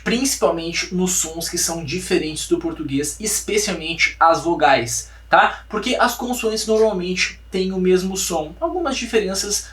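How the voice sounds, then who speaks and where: Brazilian language teacher in an echoey room. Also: male voice, high-pitched, fairly bright tone, recorded from a distance. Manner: drills pronunciation by repeating words slowly, checks in with "tá, gente?". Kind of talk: online class lecture.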